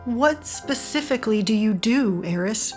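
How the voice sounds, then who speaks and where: A woman's voice: a little high, expressive, moderate pace, lightly acted. Actress as a direct woman, music behind. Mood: questioning. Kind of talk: scripted audio drama acting.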